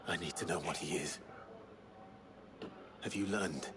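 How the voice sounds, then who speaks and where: An actor playing a reserved young male warrior who speaks tersely and low-key. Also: a little slow, background noise. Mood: somber.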